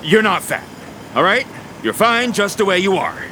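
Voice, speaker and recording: An actor playing a touchy, bossy Italian police officer in an audio drama, blunt and wisecracking. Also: male voice, high voice, sing-song, moderate pace, noisy background. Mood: reassuring. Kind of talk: scripted audio drama acting.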